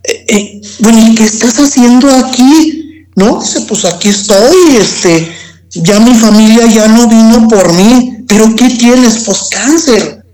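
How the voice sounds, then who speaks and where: A Mexican man giving a personal testimony as an interview guest. He talks slowly and haltingly, often repeating words before going on. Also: very high-pitched, a little mellow, rough recording.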